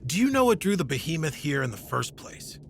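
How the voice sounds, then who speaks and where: Hesitant young knight, creaky voice. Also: male voice, a little high, big pitch swings, brisk, slight background noise. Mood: tentative. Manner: hesitant, awkward. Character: young male novice knight, hesitant but determined. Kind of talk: audio drama acting.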